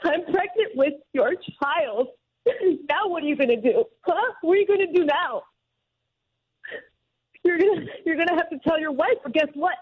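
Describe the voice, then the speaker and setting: High-voiced, insistent woman on the phone. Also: expressive, moderate pace, long pauses, phone-quality sound. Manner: insistent, repeats questions. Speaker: caller confronting her lover. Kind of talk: confrontational phone call.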